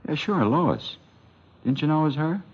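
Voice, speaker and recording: An American actor playing a talkative, folksy lunch counter owner giving witness testimony in a 1950s radio drama. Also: male voice, a little high, animated, normal speed, very noisy, natural voice. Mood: surprised, insistent. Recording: muffled vintage radio recording.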